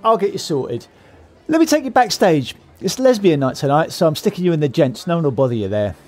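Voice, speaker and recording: Keen bar owner, eager to please, a bit high, bouncy intonation, audio comedy. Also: male voice, big pitch swings, fairly quick, a little mellow. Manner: accommodating, upbeat. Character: eager, obliging male pub owner. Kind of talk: comedy audio drama acting.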